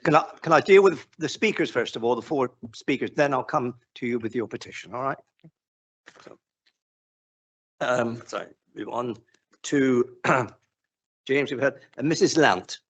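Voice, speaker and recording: A man's voice: mid-range voice, measured pace, long pauses, a bit bright, breathy edge. A man chairing a council committee meeting, informal and stumbling over his words.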